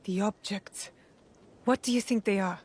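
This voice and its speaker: A woman performs a young shinobi set on vengeance in a game drama. Her medium-pitched voice is serious and clipped, with steady resolve. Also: measured pace, slight background noise, lightly acted. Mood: subdued grief. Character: determined young female shinobi, grieving and vengeful. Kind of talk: dramatic video game acting.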